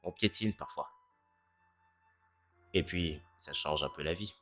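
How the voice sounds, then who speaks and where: A performer voicing a cynical, self-deprecating teenage boy in a French fan dub who speaks in a deadpan way. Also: extremely low pitch, takes it slowly, heavily acted. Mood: earnest. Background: faint music.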